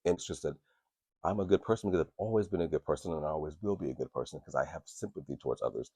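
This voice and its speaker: A man with an extremely low, deep voice that carries a husky, grainy edge. He talks frankly into a podcast microphone, rambling and restarting, and swears freely. The sound is close and dry, with no room around it. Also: very fast, warm tone, no echo. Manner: candid, profane and rambling, with many restarts. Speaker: podcast host. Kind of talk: casual podcast talk.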